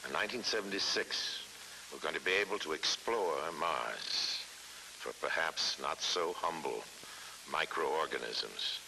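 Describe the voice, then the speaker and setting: Man, extremely low voice, gravelly, measured documentary narration, dramatic pauses, hissy vintage soundtrack.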